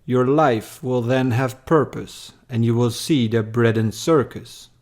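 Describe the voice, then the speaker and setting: Very slow, solemn male narrator. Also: medium pitch, darkish tone. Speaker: narrator, poem reader. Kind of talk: scripted dramatic poetry narration.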